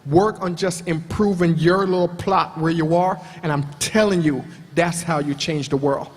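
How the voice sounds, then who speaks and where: Emphatic husky man in a reverberant hall. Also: a little high, steady tone, moderate pace. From Jamaica. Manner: emphatic, repeating phrases for effect. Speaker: panelist. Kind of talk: passionate motivational panel talk.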